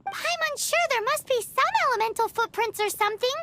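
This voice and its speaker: A woman's voice: very high-pitched, brisk, background noise. A woman voicing a tiny, chirpy fairy companion girl who speaks bluntly and refers to herself by name.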